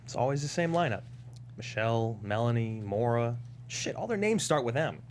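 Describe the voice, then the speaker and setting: A man's voice: medium pitch, big pitch swings, moderate pace, slight background noise, natural voice. Man playing a grumbling, jaded young fly. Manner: slangy, grumbling. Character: jaded young male fly, restless and complaining, pontificating. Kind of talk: comedy script table read.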